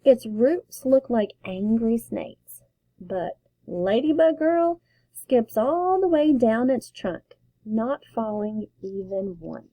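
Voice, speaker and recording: Brief: teacher reading aloud to kids, slow and expressive, mellow voice, dry close sound. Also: female voice, a little high, dry recording, low-quality recording. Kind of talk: children's read-aloud.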